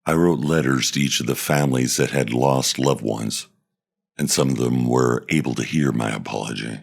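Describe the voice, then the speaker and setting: A man reading as a remorseful, gravelly jailed ex-pilot. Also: very deep voice, voice pushed down, heavily acted. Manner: quiet, halting confession. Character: remorseful middle-aged male ex-pilot, jailed and sober. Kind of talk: dramatic monologue read.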